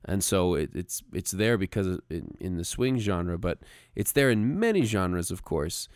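A man co-hosting a podcast in a casual style, talking haltingly and repeating words as he forms his thoughts. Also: deep voice, big pitch swings, a little mellow, dry recording, clean studio sound. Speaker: podcast co-host, music arranger.

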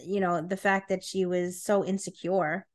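A woman's voice: on the low side, fairly even intonation, normal speed. A woman co-hosting a podcast, speaking bluntly and slangily as she shares strong opinions in casual chat. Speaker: podcast co-host. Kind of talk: casual book-review chat.

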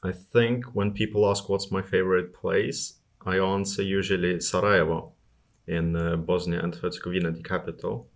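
A man's voice: deep voice, level tone, moderate pace. A man from Estonia answering questions casually as an interview guest about his own travels.

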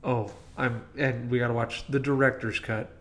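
A man's voice: mid-range voice, steady tone, normal speed, fairly bright tone. A man co-hosting a podcast, casually discussing films in an opinionated, emphatic way. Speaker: podcast co-host.

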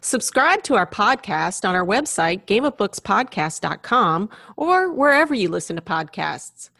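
A woman's voice: mid-range voice, big pitch swings, normal speed, creaky, bright, forceful tone, no room sound, studio quality. A woman co-hosting a podcast, reading a friendly outro in an informal way. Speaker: podcast co-host. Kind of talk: casual chat and scripted outro.

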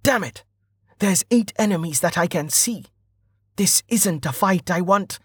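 Man acting a wary traveller, pitched up. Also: animated, measured pace, fairly bright tone, husky, no room sound, clean studio sound, exaggerated voice. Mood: frustrated. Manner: muttered inner monologue. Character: wary, grumbling male traveller thinking to himself.

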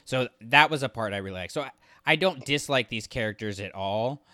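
Male podcast co-host, crisp and forward tone, conversational delivery, casual review talk. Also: mid-range voice, expressive, a little fast, sharp tone, dry recording, studio quality. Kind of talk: casual book discussion.

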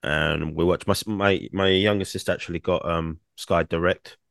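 A young man, co-hosting a casual podcast, rambling with many fillers and restarts, his throat croaky from singing. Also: low voice, fairly even intonation, normal speed, a bit bright, dry recording, clean studio sound. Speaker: podcast co-host.

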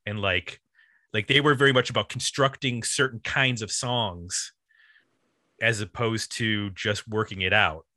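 A man with a bright, forward voice talks casually about music as a podcast host. He rambles and leans constantly on "like", and the recording is close and dry. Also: on the low side, measured pace, no echo. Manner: rambling, uses 'like' constantly.

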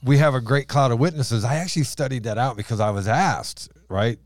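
A man with a deep voice and strained vocal cords, hosting a casual Bible podcast, laughing often and restarting his sentences. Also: a little fast, no echo, studio quality. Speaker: podcast host.

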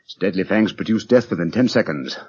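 Brilliant british detective in old radio play, husky, big swooping delivery, muffled recording. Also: male voice, sits low, lively intonation, brisk, darkish tone. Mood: grave. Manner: crisp, authoritative, declamatory. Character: brilliant, commanding gentleman detective, coolly grave and theatrical. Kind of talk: 1940s radio drama acting.

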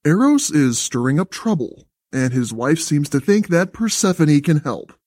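A man's voice: fairly high pitch, big pitch swings, moderate pace, a little mellow, no echo, studio quality. A man voicing a haughty, impatient messenger god in a story, prim, formal and disdainful. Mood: brisk, impatient.

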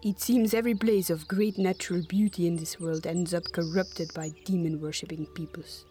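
A man's voice: sits high, steady tone, normal speed, mellow, faint music behind, pitched above the performer's own voice, close to an everyday voice. A man voicing a woman witch hunter speaking with barely contained fury. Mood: angry.

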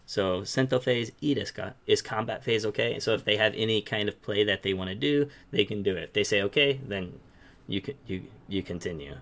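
A man's voice: on the low side, brisk, fairly bright tone. A man hosting a casual, instructional discussion, asking questions and explaining terms step by step. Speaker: host leading the discussion.

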